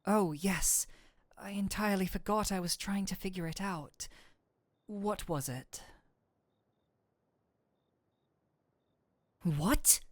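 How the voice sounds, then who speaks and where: A woman's voice: mid-range voice, dry recording, clean studio sound. A woman playing a nerdy male incubus demon in an intimate audio roleplay, speaking to the listener in formal, theatrical wording with a flustered, courteous, flirtatious manner. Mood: incredulous.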